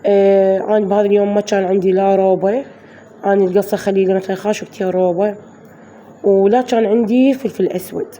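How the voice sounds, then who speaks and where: Hoarse Iraqi woman, chatty voice-over. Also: medium pitch, fairly even intonation, fast talker, warm tone, a little hiss or hum. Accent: Iraqi Arabic. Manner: chatty, addresses viewers as girls. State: hoarse from flu. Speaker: vlogger. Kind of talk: daily-routine voice-over narration.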